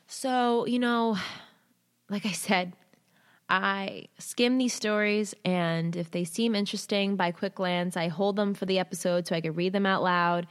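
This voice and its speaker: A woman hosting a show, reading a listener's submitted story aloud in short halting phrases, then chatting candidly with casual slang. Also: medium pitch, steady tone, clear, resonant tone. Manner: candid, uses swearing and slang. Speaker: host reading listener stories.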